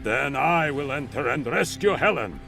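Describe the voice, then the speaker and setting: Grim, animated war leader. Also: male voice, mid-range voice, normal speed, vocal fry, faint music behind. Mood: resolute. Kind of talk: video game cutscene acting.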